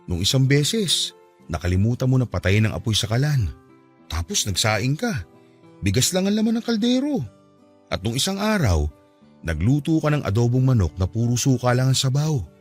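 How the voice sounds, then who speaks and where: Caring husband, expressive slightly husky voice. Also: male voice, mid-range voice, normal speed, soft background music. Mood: gently worried. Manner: earnest, gently coaxing. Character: patient, caring husband and father, reassuring his forgetful wife. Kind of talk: Tagalog radio drama acting.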